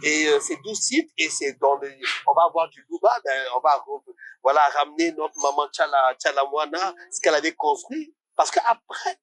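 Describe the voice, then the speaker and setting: Passionate higher-voiced man. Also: normal speed, rough recording. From Congo. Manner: passionate, animated, rising to indignant questions. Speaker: guest musician. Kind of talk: casual interview talk.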